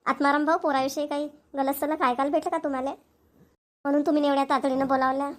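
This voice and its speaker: A woman's voice: high-pitched, level tone, quick pace, close to an everyday voice. A woman voicing an eager, practical village wife in a homemade cartoon, chatty and insistent in Marathi with a Vidarbha accent. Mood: curious.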